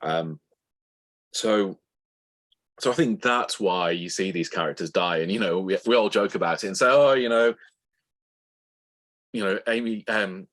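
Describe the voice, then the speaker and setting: A man's voice: low voice, a little slow, pauses often, a bit bright. A man speaking as an interview guest, thoughtfully explaining his writing in a conversational way, often rephrasing mid-sentence. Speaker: interview guest, author of novels and audio dramas.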